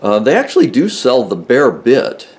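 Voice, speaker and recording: A man's voice: mid-range voice, wildly swooping pitch, moderate pace, darkish tone. Older guy showing off a socket bit from inside a car, plain methodical talk, restarts a lot. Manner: plain, methodical, restarts mid-sentence while explaining. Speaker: car restoration video host. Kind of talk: hands-on tool demonstration.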